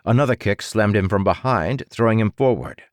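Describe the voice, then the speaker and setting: Deep, dramatic male audiobook narrator. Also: lively intonation, moderate pace, no room sound, studio quality.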